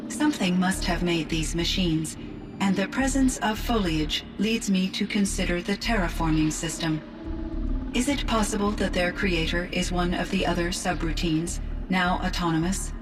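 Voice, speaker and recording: A gentle female AI from a video game, acted by a woman, heard at a distance over clear music. The voice is on the low side, with formal, precise phrasing and an earnest curiosity. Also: natural voice. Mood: reflective, curious. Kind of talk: scripted video game dialogue.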